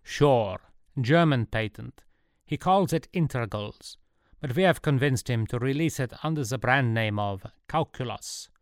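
A man's voice: on the low side, lively intonation, moderate pace, no room sound, clean studio sound. A man voicing a proud, middle-aged Swiss merchant, a slick hustler in an audiobook story. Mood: smug, salesmanlike.

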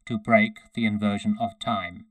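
A man's voice: fairly low pitch, little pitch movement, unhurried, dark tone, dry recording, lightly acted, poor audio. A man voicing an obedient, emotionless cyborg centurion in a story, with a metallic, synthesized, toneless, clipped delivery. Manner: toneless, clipped, matter-of-fact.

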